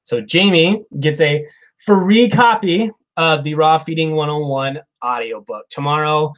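A man's voice: a little high, unhurried, fairly bright tone. A man in his thirties hosting a casual live Q&A stream, reading viewer comments in a chatty, upbeat way with frequent laughs, recorded on a lapel mic in a small room. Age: 30s. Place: small room, plain wall behind. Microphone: clip-on lapel mic.

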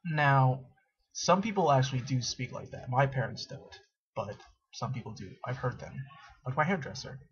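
A man's voice: mid-range voice, expressive, normal speed, lo-fi sound. A young man in a dim dorm room, rambling casually to his webcam with lots of fillers and self-mocking asides. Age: young adult. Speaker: vlogger. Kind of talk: casual straight-to-camera vlog. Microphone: built-in webcam mic.

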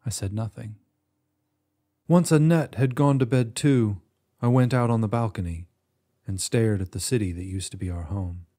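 A man narrating a first-person short story in a measured, expressive way with dramatic pauses. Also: on the low side. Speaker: audiobook narrator.

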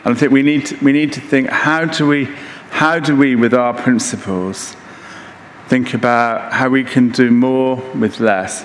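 A man in his sixties giving a conference keynote lecture in a measured, halting way, pausing mid-phrase. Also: medium pitch. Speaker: keynote speaker. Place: large conference hall stage, indoors. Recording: echoey hall with reverb, background noise.

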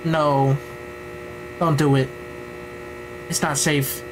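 A man's voice: a little high, level tone, very slow. A man giving a casual, slangy live reaction to a scary video, pleading with the screen in short repeated phrases. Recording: noisy with mains hum.

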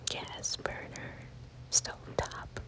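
Slow whispering woman, breathy and soft. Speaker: ASMR house tour host. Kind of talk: whispered ASMR house tour. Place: inside an empty house, walking through rooms. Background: rain sounds.

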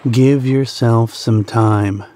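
A man narrating a guided meditation in a soothing, deliberate manner, pausing between short phrases. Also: mid-range voice, fairly even intonation, dark tone.

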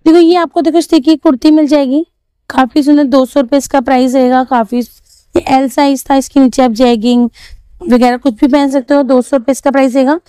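High-voiced woman touring a street market. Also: steady tone, brisk, dark tone, no room sound. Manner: chatty, repeats prices, asks shopkeepers. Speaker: market vlogger. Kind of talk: walking market vlog showing clothes and prices. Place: busy outdoor street market.

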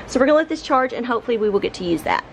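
A middle-aged woman, casually narrating a home vlog in an informal, halting, think-aloud way. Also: fairly high pitch, big pitch swings, vocal fry, noisy background. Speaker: home vlogger. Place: living room of a home, indoors. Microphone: camera mic on a tripod nearby.

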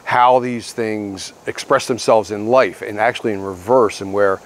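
A man's voice: fairly low pitch, fairly even intonation, moderate pace. A man in his sixties, a residential trainer, speaking plainly and reflectively outdoors on a lapel mic. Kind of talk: testimonial interview. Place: outdoors by a log cabin.

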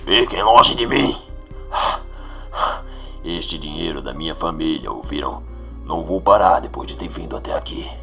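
Man playing gruff, deep-voiced old hero. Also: animated, moderate pace, sharp tone, recorded from a distance, full character voice. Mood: defiant. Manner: gruff, terse, stubborn. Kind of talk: motion comic fan dub acting. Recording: music under the voice.

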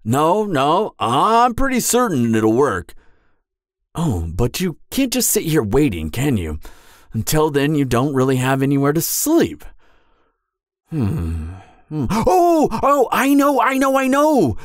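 Man acting as a flustered, friendly giant, wildly animated. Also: a little high, slow pace, no room sound, studio quality. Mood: eager, reassuring. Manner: flustered, hesitant, rambling, animated. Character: friendly, clumsy, apologetic male giant. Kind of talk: roleplay audio drama monologue.

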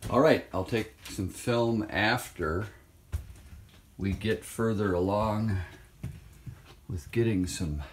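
A man with a very low voice talks slowly and offhandedly, thinking aloud as he walks around with his phone. The sound bounces around a bare, hollow room. A faint hiss sits underneath. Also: roomy sound. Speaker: vlogger filming a trailer renovation. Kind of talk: casual walkthrough narration. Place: inside an empty old trailer home. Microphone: handheld phone camera.

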